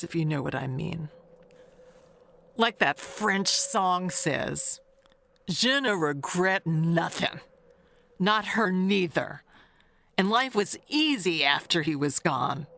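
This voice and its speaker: A woman's voice: lowish voice, animated, takes it slowly, vocal fry, pauses often, fairly bright tone, a little hiss or hum, exaggerated voice. A woman playing a chatty older neighbor, rambling and confiding in an audio mystery tale, coy and wistful. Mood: coy, flirtatious.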